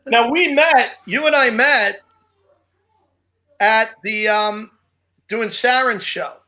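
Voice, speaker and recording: A man with an unusually high, bright and forceful voice chats casually as a comedian host. He swaps comic memories in an informal, conversational style. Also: slow pace.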